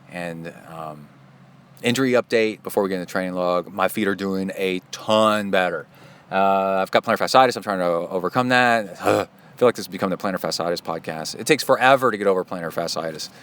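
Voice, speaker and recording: Chatty middle-aged man, low smooth voice. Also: fairly bright tone. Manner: loose, chatty, jokey asides. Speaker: podcast host and triathlon coach. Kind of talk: casual rambling podcast monologue. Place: outdoors in a park.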